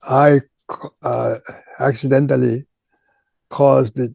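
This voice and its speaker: An older man, a native Korean speaker with a Korean accent, recalling his career as a retired chemistry professor in an oral history interview, speaking haltingly with many pauses and repeated words. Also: mid-range voice, level tone, vocal fry, a little mellow, breathy edge, no echo. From Japan.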